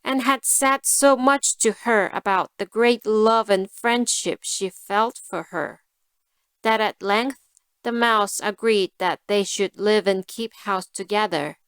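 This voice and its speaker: A woman storyteller giving a scripted fairy tale narration for learners, deliberate and carefully phrased. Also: mid-range voice, fairly bright tone, clear, resonant tone, no room sound.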